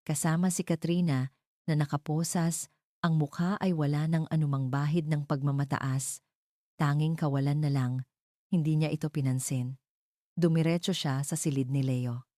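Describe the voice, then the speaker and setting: A woman's voice: deep voice, fairly even intonation, normal speed, mellow, no room sound, studio quality. A woman reading a scripted romantic drama as an audio story narrator.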